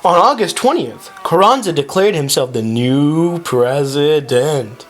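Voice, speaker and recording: A man's voice: a little high, expressive, measured pace. A man giving scripted amateur narration of history as the narrator.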